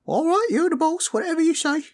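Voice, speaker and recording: A man voicing an eager, slangy underling who runs errands, with a squeaky, husky voice, in an improvised fantasy roleplay. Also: animated, a little fast. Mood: eager, obliging. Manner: slangy, blunt, deferential. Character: eager, scrappy underling errand-runner named Garbo.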